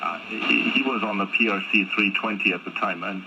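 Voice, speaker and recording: Low-voiced man over noisy shortwave radio. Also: steady tone, a little fast, bright, forceful tone, highs cut off. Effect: shortwave radio transmission. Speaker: amateur radio operator. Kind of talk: casual ham radio exchange. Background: radio static noise.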